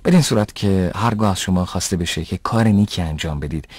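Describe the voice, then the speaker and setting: Man as pious father, low voice, measured, didactic, patient, dry close sound, cartoon dub. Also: darkish tone, husky, no echo. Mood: patient, instructive. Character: calm pious father, patient moral teacher. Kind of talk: dubbed educational cartoon, didactic delivery.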